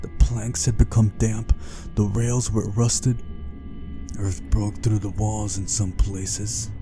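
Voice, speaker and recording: A man's voice: on the low side, little pitch movement, slow, over music. A man reading a visual novel's story aloud as scripted first-person narration for a playthrough.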